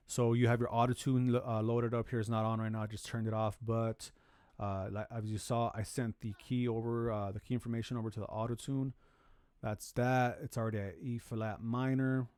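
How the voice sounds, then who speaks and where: A man hosting a music production tutorial from a home studio, talking through his steps in a relaxed, rambling way with lots of fillers and restarts. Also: fairly quick, clear, resonant tone, dry recording. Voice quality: deep voice. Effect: auto-tune pitch correction on some lines. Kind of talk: casual screen-recorded tutorial. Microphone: studio vocal microphone.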